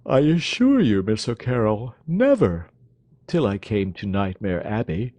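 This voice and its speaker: A man voicing a languid, lazy young gentleman who drawls and talks in an affected manner. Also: on the low side, very animated, unhurried, no room sound.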